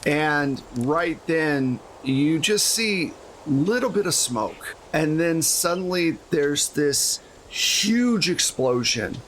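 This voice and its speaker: A man's voice: on the high side, lively intonation, takes it slowly, hardly any pauses, noisy background. A man narrating a tabletop roleplaying game as game master in a casual, conversational way.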